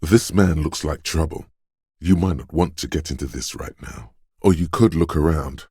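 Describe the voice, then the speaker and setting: A man voicing an alert, streetwise inner voice of quick reflexes in a video game, narrating dramatically and knowingly to the listener, very deep, gravelly and husky. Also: warm tone, no echo, clean studio sound, full character voice. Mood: watchful, suspenseful. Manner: knowing, conspiratorial, addresses listener as you.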